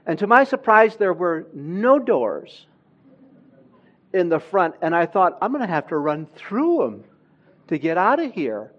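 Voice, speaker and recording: Slow, emphatic man preaching a sermon. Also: sits high, big pitch swings, highs cut off. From Honduras. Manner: slow, emphatic preaching, swelling louder on key words. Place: church.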